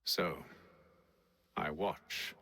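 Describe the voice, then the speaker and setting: An actor playing a composed, calculating English gentleman who leads a secret order in a video game, speaking formally and with measured restraint. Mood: brooding, reflective. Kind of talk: scripted game dialogue and narration.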